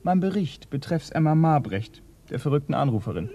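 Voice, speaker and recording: An actor playing an inquisitive police assistant in a radio play, politely probing but easily put out. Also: male voice, a little high, very animated, a little fast, warm tone, highs cut off, a little hiss or hum. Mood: huffy, formal. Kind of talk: German radio play acting.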